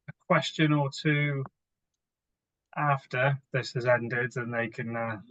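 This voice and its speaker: A man moderates an online conference session in a mid-pitched voice, polite and a little hesitant with frequent "um" fillers. His audio is dull and lo-fi, dry with no room sound. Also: no room sound. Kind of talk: hosting a webinar Q&A.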